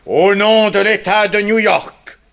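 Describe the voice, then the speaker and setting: A man's voice: high voice, steady tone, slow, vocal fry, fairly bright tone, exaggerated voice. A man playing a bullying, impatient police chief who barks orders in a French radio drama. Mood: barking, furious. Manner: barking orders, blustering.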